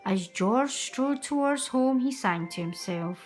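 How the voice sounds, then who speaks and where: A woman reading a children's picture book aloud in an expressive, sing-song manner. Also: high voice, takes it slowly, faint music behind. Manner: animated, sing-song delivery.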